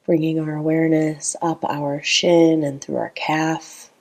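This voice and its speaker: A woman guides a meditation very slowly, leaving long pauses between her phrases. Her voice sits fairly low and carries a creaky, gravelly fry. The delivery is calm and gentle throughout.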